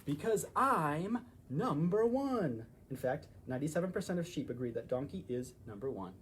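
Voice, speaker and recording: A man's voice: on the high side, sing-song, normal speed, a bit bright, a little hiss or hum. A man voicing a pushy, childish, boastful donkey campaigning for votes in a picture-book read-aloud. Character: bragging cartoon donkey candidate, pushy and childish.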